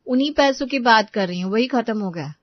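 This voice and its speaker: A woman's voice: on the high side, animated, brisk, no room sound. Woman playing young indian housewife in a family story, dutiful n fretting, dry muffled recording. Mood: aggrieved. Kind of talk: acted Hindi family story dialogue.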